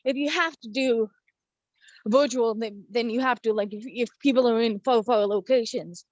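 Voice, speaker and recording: A slightly lo-fi, very dry recording of a woman with a fairly high voice. She speaks casually as part of a group discussion. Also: normal speed, darkish tone, no room sound. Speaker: participant in a group meeting. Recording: slightly dull, lo-fi microphone sound.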